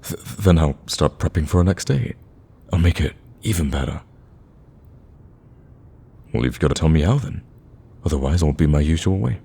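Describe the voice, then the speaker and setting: Lovestruck male villain, played by a man for ASMR romance: rock-bottom pitch, soft rasp, hesitant and tender with a cocky edge. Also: animated, moderate pace, long pauses, exaggerated voice. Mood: devoted, tender. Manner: hesitant, stammering, intimate.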